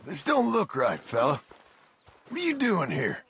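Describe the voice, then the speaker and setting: An actor playing a gruff, sardonic American cowboy outlaw with a gravelly voice in a western video game. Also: male voice, on the high side, huge pitch swings, measured pace, a bit bright, slight background noise, exaggerated voice. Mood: suspicious.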